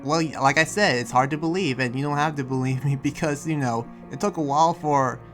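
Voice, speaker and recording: A man acts out a good-natured townsman in an improvised anime game roleplay. His voice sits a little high and he explains things earnestly, trailing into hesitations. Faint music plays behind him. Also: close to an everyday voice. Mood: patient, defensive. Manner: hesitant, rambling explanations full of pauses. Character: friendly, earnest demon-sheltering townsman who senses lies.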